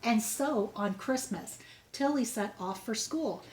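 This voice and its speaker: A woman reading a children's storybook aloud in an expressive, measured way, as if to young pupils. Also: on the high side, a little hiss or hum, rough recording.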